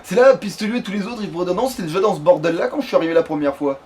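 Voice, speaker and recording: A man's voice: high voice, lively intonation, rapid-fire. A man doing casual, sweary let's play commentary, grumbling at the game as he plays. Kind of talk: casual gaming commentary with banter. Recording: clearly noisy home game recording.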